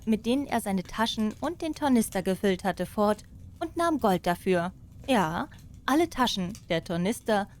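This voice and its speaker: A woman narrating a fairy tale as an expressive audiobook storyteller. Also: mid-range voice.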